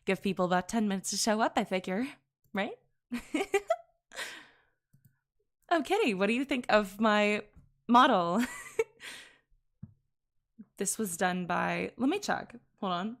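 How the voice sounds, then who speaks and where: A woman's voice: mid-range voice, expressive, measured pace, pauses often. A woman streaming casually to her chat, giggling often and correcting herself mid-sentence. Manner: giggly, chatty, laughs often between sentences. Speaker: VTuber streamer.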